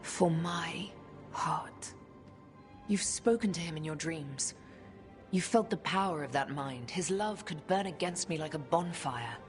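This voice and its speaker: A solemn elf woman in a fantasy game drama. Her voice is low, measured and reflective, with a wistful weight to it. Faint music runs beneath. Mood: heartbroken, wistful. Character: solemn, wistful female elf inquisitor, once betrayed in love.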